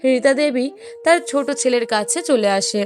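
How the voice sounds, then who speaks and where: A woman's voice: sits high, normal speed, heavy background noise. A woman narrator telling a scripted cartoon story.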